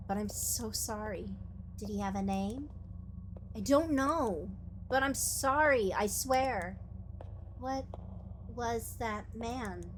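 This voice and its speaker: Casting: female performer as young woman in mourning, guilt-ridden, introspective visual novel narration, mid-range pitch, clear background noise. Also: close to an everyday voice, rough recording. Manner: brooding, self-questioning. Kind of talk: dramatic first-person visual novel narration.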